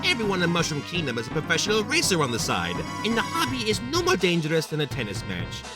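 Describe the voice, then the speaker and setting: A man's voice: a little high, wildly swooping pitch, fast. An extremely swooping voice over music, a man acting a touchy cartoon hero. He sounds self-important, haughty and readily offended. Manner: indignant, haughty. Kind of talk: animated comedy acting.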